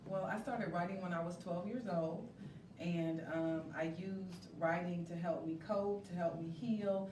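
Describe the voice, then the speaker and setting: A woman's voice: fairly low pitch, fairly even intonation, unhurried. A woman talking as an interview guest, a playwright and director. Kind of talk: interview talk. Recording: echoey, distant mic, noisy room.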